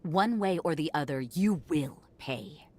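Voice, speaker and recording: A woman's voice: low voice, lively intonation, measured pace, a little mellow. A woman voicing a defiant, sardonic noblewoman in a video game, with a blunt, commanding, barbed way of talking. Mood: cold, threatening. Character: defiant, sardonic noblewoman ruling a sunken city. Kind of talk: scripted video game cutscene acting.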